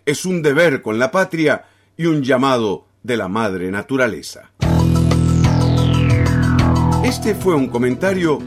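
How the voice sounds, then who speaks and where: A man's voice: mid-range voice, very animated, a little slow, loud music behind the voice. A Costa Rican man reading a scripted opinion commentary as a host, in an emphatic, declamatory, rhetorical way. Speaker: commentator and television program host.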